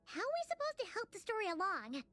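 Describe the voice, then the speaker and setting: A woman voicing a small, chatty, excitable female sprite companion in a video game, with a squeaky childlike voice and a cutesy, exclamatory manner. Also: fast, faint music behind, exaggerated voice. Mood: curious.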